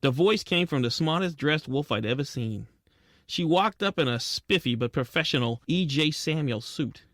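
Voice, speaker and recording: A man playing a wisecracking, soft-hearted penguin private detective in a radio drama, narrating and talking in old-time gumshoe slang. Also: fairly high pitch, lively intonation, normal speed, no room sound, studio quality. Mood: wry narrating. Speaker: lead actor and narrator.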